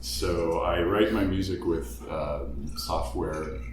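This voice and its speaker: A man's voice: deep voice, steady tone, slow pace. A man who composes music for a podcast, explaining his process in a thoughtful, hesitant way with many fillers. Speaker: composer for the show. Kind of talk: casual chat. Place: apartment room. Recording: roomy, distant, noisy.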